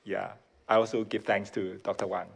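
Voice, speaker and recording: Hong Kong-accented male preacher, native Cantonese speaker, modest and giggly, pauses often for his interpreter. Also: lowish voice, level tone, gravelly, husky. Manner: modest, with shy laughs between phrases. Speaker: guest preacher. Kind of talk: sermon delivered in short chunks for an interpreter.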